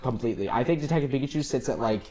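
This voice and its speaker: A man's voice: medium pitch, racing, crowd noise. A man co-hosting a casual film discussion, talking in an earnest, rambling, enthusiastic way. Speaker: podcast co-host.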